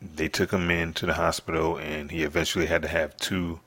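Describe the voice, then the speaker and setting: A man with a deep voice keeps his volume down as he hosts a solo show from his apartment. His delivery is monotone but edged and forceful, and he rambles, stopping and restarting his sentences. The tone stays hushed and halting throughout. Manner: speaks softly, hushed; halting, many restarts and fillers. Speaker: podcast host. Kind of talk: rambling solo podcast monologue.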